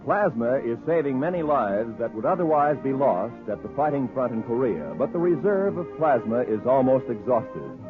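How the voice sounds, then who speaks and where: A man's voice: mid-range voice, lively intonation, music in the background. A man with an American accent announcing formally from a script in the style of 1950s radio. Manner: formal, measured. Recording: tinny husky vintage radio recording.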